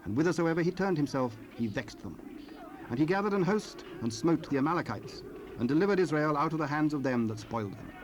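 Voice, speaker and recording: A man narrating scripture from a script in a solemn, measured manner. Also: on the high side, lively intonation, lo-fi sound. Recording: noisy cassette rip, ambient sound.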